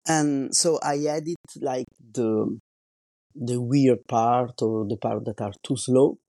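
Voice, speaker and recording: Slow-talking Italian-accented man. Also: medium pitch, warm tone, dry recording. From Italy. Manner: slow, careful, searching for words. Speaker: podcast host. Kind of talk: solo podcast monologue.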